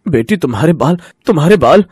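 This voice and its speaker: An actor playing a kindly, humble village potter in a cartoon story, an affectionate father with a husky voice. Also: on the high side, expressive, brisk, no room sound, studio quality. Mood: shocked. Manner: affectionate, gentle.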